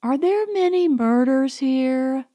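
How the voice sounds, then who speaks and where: Woman playing a high-pitched, gushing, haughty young socialite. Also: animated, slow, dark tone, no room sound, highs cut off. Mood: gushing, excited. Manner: gushing, affected, condescending. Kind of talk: audiobook character dialogue.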